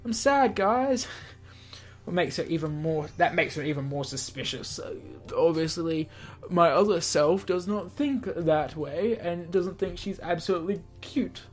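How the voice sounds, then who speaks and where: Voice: medium pitch, lively intonation, measured pace, soft background music. A gaming video host reading a visual novel aloud with playful asides, often stumbling over words and self-correcting.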